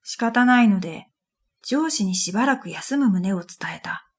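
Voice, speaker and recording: A woman playing a pensive dream reader, narrating her own story in a quiet, measured radio drama style. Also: medium pitch, animated. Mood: pensive, calm. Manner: quiet, measured, pensive, trailing into breathy phrase ends. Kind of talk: scripted first-person radio drama narration.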